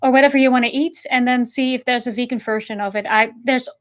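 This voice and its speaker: A woman's voice: fairly high pitch, steady tone, fast. A woman chatting casually as a co-host, speaking in a friendly and reassuring way. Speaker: co-host, vegan activist. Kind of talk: casual chat. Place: online voice chat.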